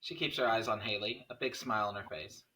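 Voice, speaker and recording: A man's voice: lowish voice, quick pace, sharp tone, poor audio. A man reading stage directions as narrator at a screenplay table read, in scripted narration.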